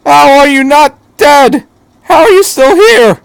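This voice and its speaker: A man voicing an overwhelmed, incredulous teenage boy pilot in a story reading, stammering in shrill disbelief. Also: expressive, slow, gravelly, no echo, full character voice, poor audio. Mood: shocked, incredulous.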